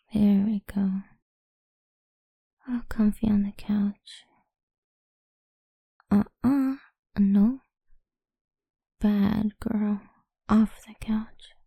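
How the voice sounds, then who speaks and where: A woman speaking in a soft, slow, coaxing whisper for a close-mic ASMR roleplay. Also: mid-range voice, level tone, vocal fry, breathy edge, dry recording.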